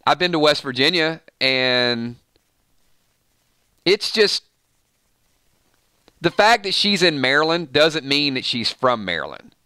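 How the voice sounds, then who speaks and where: A man's voice: a little high, very animated, slow, pauses often, sharp tone, smooth, no room sound, clean studio sound. A man with a Georgia accent hosting a casual live stream, rambling and laughing as he chats with viewers. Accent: Georgia (US South). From Georgia, USA. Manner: chatty, rambling, laughs often, reads viewer comments. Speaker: show host.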